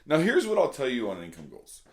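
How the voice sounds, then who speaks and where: A middle-aged man with a slightly hoarse voice, talking earnestly and casually to his viewers as a vlog host, repeating points for emphasis. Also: mid-range voice, huge pitch swings, a little fast. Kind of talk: casual motivational talk to camera.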